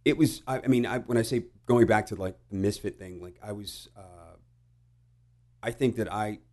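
A middle-aged American man telling personal stories casually, halting and reflective, with some swearing. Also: fairly low pitch, darkish tone. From United States. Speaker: podcast guest. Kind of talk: casual conversational storytelling.

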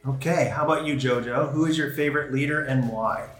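A woman's voice: extremely low pitch, fairly quick. A woman interviewing young students, encouraging and affirming as she moves from question to question. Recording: echoey room with music behind.

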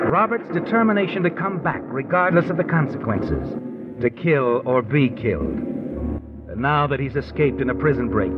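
A narrow, tinny vintage radio recording with music behind carries a sinister American narrator. He is knowing and insinuating, and his pitch rises and falls dramatically as he taunts like a voice of conscience. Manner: ominous, insinuating, dramatic, deliberate. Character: knowing, sinister, taunting male omniscient storyteller. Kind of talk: 1950s American radio suspense narration in second person.

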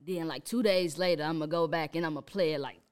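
A young woman with a Chicago accent, a rapper talking casually in an interview, relaxed and laughing often. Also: low voice, fast, background noise. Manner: laid-back, laughs often, many fillers. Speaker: rapper guest.

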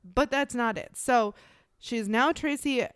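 A young woman from the Midwest, co-hosting a podcast in casual, giggly chat with quick asides. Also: fairly high pitch, fairly bright tone, clean tone, no room sound, studio quality. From American Midwest. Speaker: podcast co-host.

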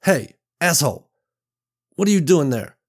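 A man's voice: a little high, lively intonation, normal speed, mellow, pitched above the performer's own voice. A man voicing a fierce, protective mother in an audiobook, speaking sharply and threateningly with a raised voice. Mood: angry, defiant. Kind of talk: audiobook character voice.

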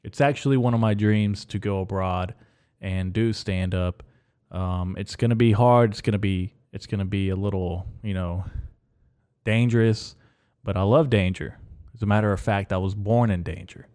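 A man born in Mexico hosting a podcast from his bedroom, rambling through absurd dark jokes in a straight, deadpan delivery with many ums. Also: fairly low pitch, moderate pace, clean tone. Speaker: podcast host. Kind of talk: rambling deadpan comedic monologue.

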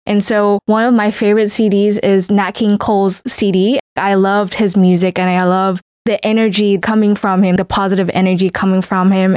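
A woman's voice: medium pitch, a little mellow, no echo. A woman in her twenties from Vietnam whose first language is Vietnamese, talking with earnest reflection as a podcast co-host. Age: 20s. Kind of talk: casual chat.

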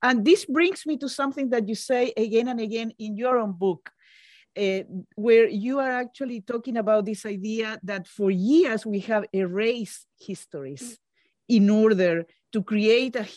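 A woman's voice: on the high side, moderate pace, darkish tone, dry recording, clean studio sound. A woman in her fifties from Chile with a Chilean Spanish accent, hosting an interview over a video call and searching for her words with many fillers. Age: 50s.

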